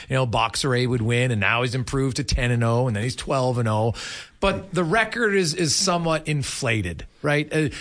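A man's voice: fairly high pitch, a bit bright. A man, chatting casually and in a rambling, opinionated way as a sports interviewer. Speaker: sports radio host and interviewer.

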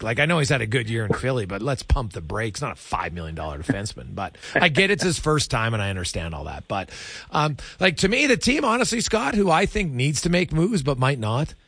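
A man's voice: mid-range voice, a bit bright, slightly rough, dry recording. A male sports radio host giving emphatic, opinionated hockey commentary in short, punchy sentences.

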